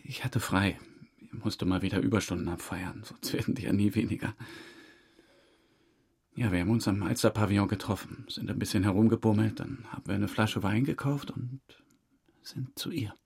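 A low, husky male voice plays an evasive suspect in a radio drama. He talks haltingly and breathily, defensive and embarrassed about himself. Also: a little mellow. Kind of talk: radio drama interrogation dialogue.

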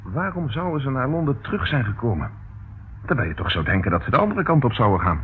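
Actor as a wry inspector, tinny noisy recording. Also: male voice, medium pitch, very animated, fast, lightly acted. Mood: pensive. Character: dogged, sardonic male police inspector. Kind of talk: radio play acting.

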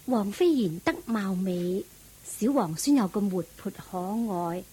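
Woman as calm, husky, reassuring queen. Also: medium pitch, unhurried. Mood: soothing. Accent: Hong Kong Cantonese. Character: calm, reassuring queen, supportive wife of a king. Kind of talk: Cantonese radio drama acting.

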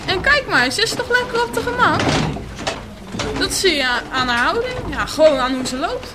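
An actress playing an eager, trusting woman in a radio play, coaxing and insistent in an excitable way. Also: very high voice, moderate pace. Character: eager, trusting young woman following a cow. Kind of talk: Dutch radio play acting. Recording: roomy, noisy radio play recording with clicks.

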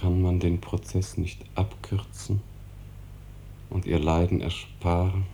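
Anguished husband, very deep dark voice. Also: male voice, little pitch movement, takes it slowly. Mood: desperate. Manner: earnest, pressing. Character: anguished, insistent husband of a dying woman. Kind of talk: 1950s German radio drama acting. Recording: faintly noisy old 1950s radio recording.

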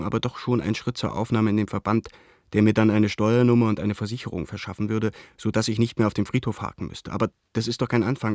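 An actor playing an embittered, indignant painter, venting a letter aloud in an agitated, sardonic and articulate way for a radio play. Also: male voice, lowish voice, a little mellow, dry recording, studio quality, lightly acted.

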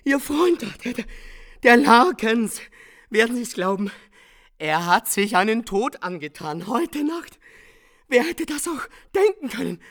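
An actress playing a distraught, chattering servant in a radio drama, agitated, breathless and stammering. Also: female voice, on the high side, big pitch swings, unhurried. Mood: horrified, frantic.

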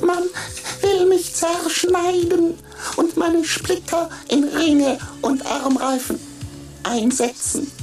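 An actress voicing a naive, childlike precious being that asks slow, earnest questions in a radio play, with music behind her. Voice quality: very high, thin, flat childlike voice.

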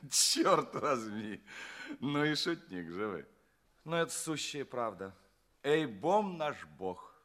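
A man's voice: fairly high pitch, sing-song, drawn-out words, pauses often, fairly bright tone, full character voice. An actor playing a jaded, irritable alien townsman who grumbles and exclaims in an audio play. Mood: weary complaint. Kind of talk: theatrical audio play dialogue.

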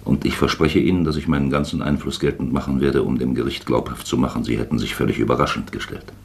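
An actor in a German radio drama playing a shrewd, paternal investigating official who speaks deliberately and persuasively. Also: male voice, rumbling low, fairly even intonation, creaky. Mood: coolly persuasive. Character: shrewd, self-admittedly plump male investigating official, paternally probing.

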